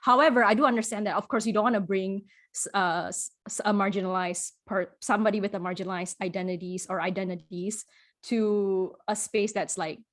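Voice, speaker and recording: A woman from Singapore, a podcast guest, talking in a thoughtful, halting way with many restarts and frequent laughs. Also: mid-range voice, steady tone, a bit bright. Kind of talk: casual conversational interview.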